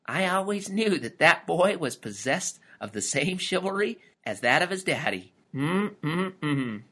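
Man putting on a high old grandma lizard. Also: sing-song, a little slow, fairly bright tone, heavily acted. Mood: proud, fond. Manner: whispering proudly, approving hums.

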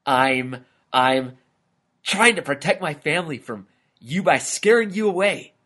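A man as anxious storybook lizard dad, high-pitched, husky, dramatic, easily panicked yet brave. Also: big pitch swings, measured pace, a bit bright, exaggerated voice. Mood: nervous. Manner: exclaiming, dramatic.

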